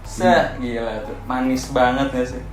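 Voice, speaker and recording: A young man with a Jakarta Indonesian accent chatting casually and excitably as he hosts an unboxing vlog. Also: mid-range voice, measured pace. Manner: excitable, colloquial. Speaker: vlog host. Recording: echoey room with background music.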